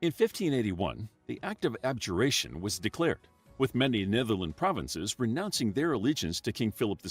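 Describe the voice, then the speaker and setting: Male documentary narrator with a slightly husky voice and an animated delivery, over faint background music. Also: mid-range voice. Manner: even, measured reading. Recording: narration from a played video with music under it.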